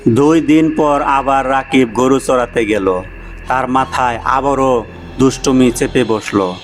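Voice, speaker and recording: Slow, measured male storyteller. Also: mid-range voice. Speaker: narrator. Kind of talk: scripted storybook narration. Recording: music behind the voice.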